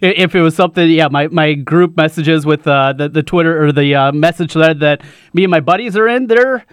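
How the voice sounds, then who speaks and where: A man with a fairly high voice chats casually on sports radio, stumbling through restarts and fillers. The sound is dry and close. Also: clear, resonant tone, dry recording. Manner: halting, fillers and restarts, agreeable.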